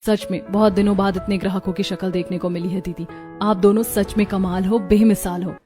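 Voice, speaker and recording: A woman acts a warm young daughter-in-law in a family cartoon. The voice sits at a middle pitch and is earnest and expressive, over background music. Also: a little fast, lightly acted. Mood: grateful. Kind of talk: Hindi cartoon dubbing.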